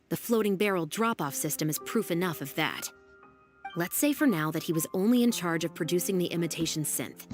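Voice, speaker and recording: A woman voicing a calm, level-headed female detective in a game, reasoning through a case in a measured way. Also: fairly low pitch, lightly acted. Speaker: investigator character in a game. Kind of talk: scripted game dialogue.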